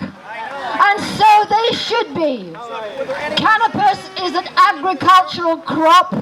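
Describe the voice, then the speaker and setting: A woman giving a political campaign speech outdoors, in a deliberate, emphatic oratorical manner with pauses. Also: extremely high pitch, rough recording. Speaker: campaign speaker. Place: outdoors in a city square. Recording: outdoor rally PA with loud city traffic noise. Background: loud street ambience and vehicles.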